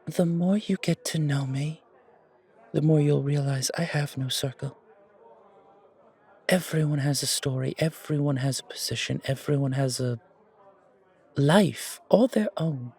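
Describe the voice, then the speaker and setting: A man voicing a refined, gracious and quietly enigmatic confidant in an audio roleplay, with polished, deliberate, theatrical phrasing. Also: on the high side, slightly rough. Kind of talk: fan audio roleplay acting.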